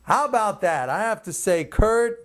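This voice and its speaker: A man's voice: high voice, lively intonation, moderate pace, a bit bright. A man hosting his own commentary, speaking off the cuff in an emphatic, opinionated way. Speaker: commentator and host.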